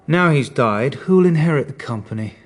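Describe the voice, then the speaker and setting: An actor playing a patient, dryly sceptical police detective in a radio drama, questioning in a measured, understated way. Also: male voice, on the high side, lively intonation. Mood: probing.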